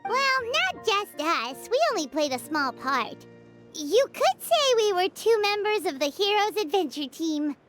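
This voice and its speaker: A woman voicing a tiny, cheeky, chatty fairy companion in an anime-style video game, with a squeaky childlike voice. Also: very animated, measured pace, exaggerated voice. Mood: modest. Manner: cheeky, talks of self in third person. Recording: loud music under the voice.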